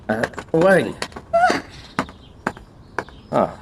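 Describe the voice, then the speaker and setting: A man's voice: mid-range voice, very animated, drawn-out words, creaky, recorded from a distance, heavily acted. A man acting a defensive, insistent office co-worker in a radio drama. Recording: off-mic, noisy with insect-like sounds.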